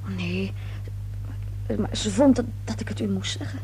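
An actress playing a nervous, evasive young woman being questioned, speaking haltingly in a breathy voice in a radio play. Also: mid-range voice, mellow, slight background noise, natural voice, poor audio. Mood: nervous, hesitant. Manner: hesitant, halting, repeating words.

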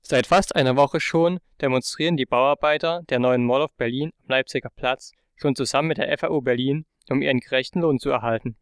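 A male radio presenter reads a scripted news intro, matter-of-fact. His voice is smooth and resonant, recorded dry and close in a studio. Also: medium pitch, brisk, few pauses, no room sound. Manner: clear, matter-of-fact reading.

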